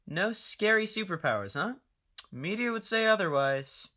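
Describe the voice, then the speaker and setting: A woman playing a lively skeptical passenger. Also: mid-range voice, normal speed. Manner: doubting, teasing questions. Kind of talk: amateur audio drama acting.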